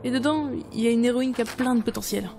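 A woman playing a strong-willed, sardonic book editor in an audio drama, halting and expressive. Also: fairly high pitch, natural voice. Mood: wry, collected. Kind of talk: French audio drama acting. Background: music.

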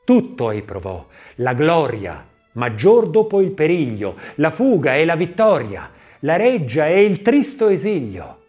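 Guy narrating an audiobook, theatrical and measured, really animated pitch, bit of music around. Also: highs cut off. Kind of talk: scripted literary narration, expressive.

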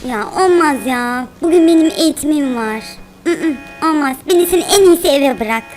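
A woman's voice: high-pitched, lively intonation, moderate pace, a little mellow. A woman voicing a fussy, doting spider mother in a children's cartoon, chattering and chiding with lots of exclamations. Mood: chiding, apologetic.

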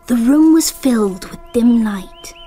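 A woman's voice: fairly high pitch, lively intonation, a little slow, dark tone, soft background music. A woman playing an observant young English girl who narrates her summer abroad in a radio drama, with an English accent. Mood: reflective. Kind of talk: radio drama acting and first-person narration.

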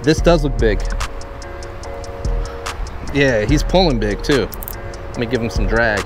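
Young man, upbeat and teasing, slow easy pace, filmed on an action cam outdoors, music underneath. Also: medium pitch. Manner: excited and teasing, talks to the fish. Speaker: fishing vlogger. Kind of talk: casual outdoor chat while fishing. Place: outdoors at a pond and on a small boat on a lake.

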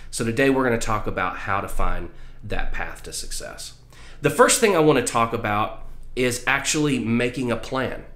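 A man's voice: mid-range voice, bright, forceful tone, echoey room. A man in his thirties giving earnest motivational advice to camera as a vlog host, explaining things directly to viewers. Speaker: vlog host, music business mentor. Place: small room at home with posters, indoors.

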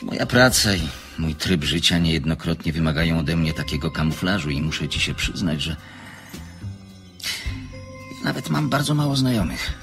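A man's voice: a bass voice, lively intonation, normal speed, pauses often, fairly bright tone, soft background music, exaggerated voice. An actor playing a secretive, courteous man in a radio play, with a fine announcer's voice and an earnest, formal, hesitant manner. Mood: earnest, evasive. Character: secretive man, courteous, evasive, apologetic, guarded about his work.

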